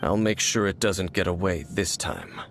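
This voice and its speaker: Solemn low-voiced warrior. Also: male voice, normal speed, a bit bright, slight background noise. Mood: determined. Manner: solemn, formal, restrained. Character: grim, distrustful male warrior guarding against dark forces. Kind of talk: fantasy video game voice acting.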